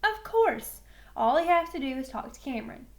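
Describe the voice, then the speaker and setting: Woman as a high-voiced, rambling schoolman. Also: sing-song, normal speed. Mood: earnest. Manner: hedging, rambling, earnest. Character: earnest, long-winded male school staffer, admiring and hesitant. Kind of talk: storybook character voice.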